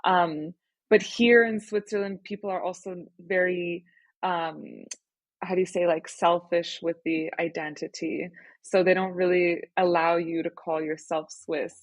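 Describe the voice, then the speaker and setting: A woman in her thirties from Canada, talking things over as a podcast guest. Her voice sits low for a woman, and she is recorded close on a dry mic with no room sound. She answers in a thoughtful, reflective way and laughs easily. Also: fairly even intonation, measured pace, no room sound. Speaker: guest, startup founder. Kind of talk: casual interview conversation.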